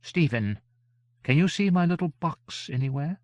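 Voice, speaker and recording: Man acting a vain fairy gentleman, high-pitched, wildly swooping, theatrical, gushing yet menacing, drawling, audiobook fantasy. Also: lively intonation, normal speed, dry recording, lightly acted. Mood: fussy, excited.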